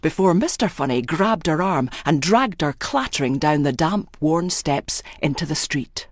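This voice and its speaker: A woman's voice: low voice, lively intonation, measured pace, no echo, studio quality. A woman reading a scripted literary story as a dramatic narrator.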